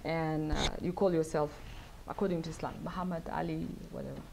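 A middle-aged woman from South Sudan answering audience questions at a lectern, speaking thoughtfully with many pauses and fillers. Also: low-pitched, steady tone, gravelly, darkish tone, a little hiss or hum. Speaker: guest speaker. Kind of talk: lecture Q&A answers. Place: wood-paneled event room, at a lectern. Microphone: lectern mic.

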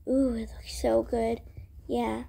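A woman with a high voice acts out an improvised roleplay story. The delivery is slow and halting, with a soft, mellow tone and pauses between phrases. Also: level tone, gravelly, a little hiss or hum. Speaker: video creator voicing all characters. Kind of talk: improvised character roleplay storytelling for a toy-world game video.

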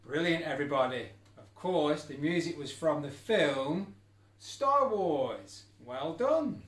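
A man's voice: fairly high pitch, expressive, slow, a bit bright. A middle-aged man teacher leading a children's exercise video, giving simple, encouraging step-by-step instructions. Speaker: special-needs school teacher leading an activity. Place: indoor room at a wooden table. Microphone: camera a few feet away. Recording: echoey room, music in the background.

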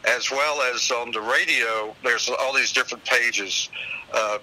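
A man's voice: medium pitch, a little slow, pushed and bright, rough recording. An older man chatting casually as a call-in guest, telling anecdotes in a rambling, folksy, very polite way. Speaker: phone-in guest, singer and website owner. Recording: hissy radio call-in with clear noise.

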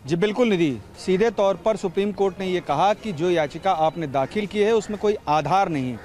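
A man in his forties, a field reporter speaking into a handheld mic outdoors, explaining a court ruling in a measured way. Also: high-pitched, darkish tone. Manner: measured explanatory, glossing terms. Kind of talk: live reporting from outside a court. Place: outdoors by a street. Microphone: handheld reporter microphone.

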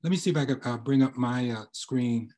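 Husky older man, halting and informal. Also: mid-range voice, warm tone. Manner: halting, hesitant. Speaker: journalism professor, guest speaker. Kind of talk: informal preamble to a presentation. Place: plain room, indoors, on a video call. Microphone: computer mic on video call.

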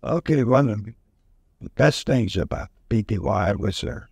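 A man in his nineties slowly recalling wartime service in an oral history session, halting with many fillers, speaking into a handheld mic. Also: on the low side, expressive, gravelly, a little mellow, husky, no room sound. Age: over 90. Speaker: veteran interviewee. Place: museum event room, indoors. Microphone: handheld mic held close.

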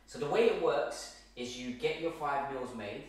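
A man's voice: medium pitch, lively intonation, moderate pace. A man in his thirties, a fitness coach, giving meal prep tips straight to camera, emphatic and repetitive, often checking in with "okay?". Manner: emphatic, repeats points, keeps asking okay. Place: large bare home kitchen. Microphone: far camera, no visible mic. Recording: very echoey room, from far off.

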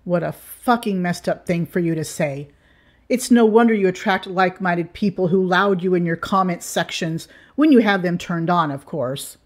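A woman hosting her channel, reading scripted commentary in an indignant, measured way. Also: on the low side. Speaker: channel host.

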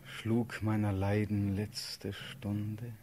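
Very slow, rapt young prince. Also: male voice, fairly low pitch, little pitch movement, warm tone, slight background noise. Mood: wondering. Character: dreamy young prince facing death, rapt and solemn. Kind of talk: classical German verse radio play acting.

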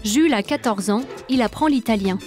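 A woman narrates a documentary in a calm, measured way, her voice sitting a little higher than most. Soft music runs faintly under the studio voice-over.

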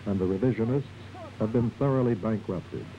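A man's voice: fairly low pitch, a little fast, mellow. A man reading a scripted propaganda documentary narration in a formal, declarative manner. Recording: muffled, noisy old film soundtrack.

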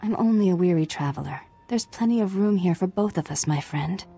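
A woman plays a serene, literal-minded mystic knight in a video game. Her voice is mellow and a little low, and her speech is calm, earnest and formal. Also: brisk, faint music behind, lightly acted. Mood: calm, placating. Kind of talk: video game dialogue acting.

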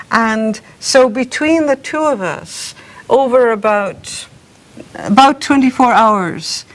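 Middle-aged woman, composer giving a talk, unhurried, chuckling storyteller at a podium mic. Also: mid-range voice. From South Wales. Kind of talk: lecture with anecdotes. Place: lecture room with curtains. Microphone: podium microphone.

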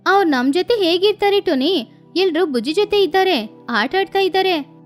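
A woman voicing a cheerful, helpful female bird neighbor with a brisk, friendly manner. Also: extremely high pitch, expressive, soft background music, voice pushed up.

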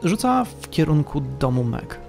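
A man narrating a tabletop fantasy game as game master, storytelling with dramatic, deliberate pauses. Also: fairly high pitch, sing-song, gravelly, over music.

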